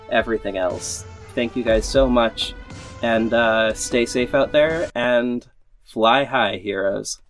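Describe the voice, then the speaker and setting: A man hosting a podcast in an informal, rambling way, repeating words for emphasis as he gives a casual update. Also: mid-range voice. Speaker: podcast host and audio drama creator. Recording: music playing behind the voice. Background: background music.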